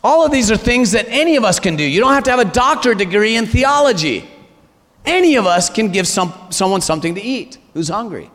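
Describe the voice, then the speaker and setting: High, animated man preaching in a hall. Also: fairly quick. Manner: exhorting, animated, asks rhetorical questions. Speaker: pastor. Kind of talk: sermon. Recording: long church hall reverb.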